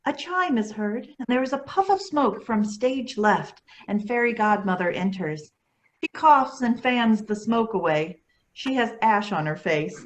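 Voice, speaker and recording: A woman's voice: on the high side, lively intonation, measured pace. A woman reading scripted stage directions as narrator of a staged play reading.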